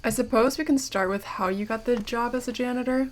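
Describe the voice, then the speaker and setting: An actress playing a young, curious documentary maker who is polite, wry and playful, in an audio drama. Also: female voice, a little high, a little fast, natural voice. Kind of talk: audio drama acting with narration.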